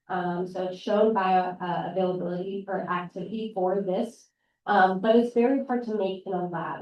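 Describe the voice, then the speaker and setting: A woman's voice: mid-range voice, darkish tone, muffled recording, a little hiss or hum. A young female undergraduate explains her research steadily, in a mid-range voice. She is heard in a roomy hall from some distance away, with faint murmuring behind her. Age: young adult. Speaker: undergraduate student presenter. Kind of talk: short research talk. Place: large campus hall.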